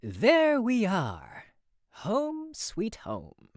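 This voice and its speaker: Swooping melodic delivery, confident genial male inventor, played by a woman, game character, dry sound, soft music. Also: fairly high pitch, huge pitch swings, very slow, dry recording, full character voice. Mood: smug, genial. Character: self-assured, genial male inventor and merchant. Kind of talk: visual novel game voice acting.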